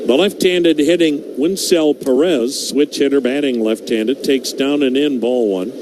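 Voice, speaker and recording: An American man calling baseball play-by-play on the radio in measured, clipped phrases with pauses. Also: medium pitch. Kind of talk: live play-by-play commentary. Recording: noisy live ballpark ambience.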